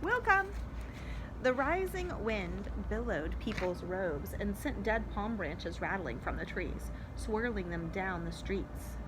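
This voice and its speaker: A woman's voice: mid-range voice, expressive, slow pace, few pauses. A woman reading a storybook aloud expressively, in a noisy home recording. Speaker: story reader.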